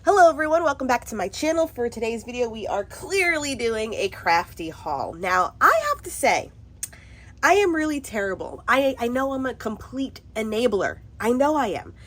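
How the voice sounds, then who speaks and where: A woman with a congested cold, chatting bubbly and excitedly at her craft table in a casual haul video, laughing often. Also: fairly high pitch, moderate pace. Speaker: craft vlogger.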